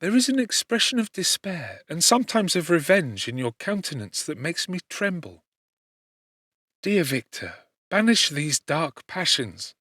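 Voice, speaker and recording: A man's voice: fairly high pitch, no echo, pitched above the performer's own voice, heavily acted. Sorrowful tender young woman, read by a man, raised voice, dry close sound. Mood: consoling. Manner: pleading, earnest, softly lifted voice. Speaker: audiobook narrator. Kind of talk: scripted audiobook reading.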